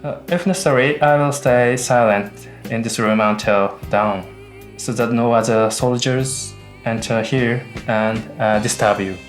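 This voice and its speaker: A man playing a gentle, hesitant Japanese soldier in a radio drama. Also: medium pitch, steady tone, measured pace, faint music behind, close to an everyday voice. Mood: earnest, apologetic. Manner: hesitant, polite.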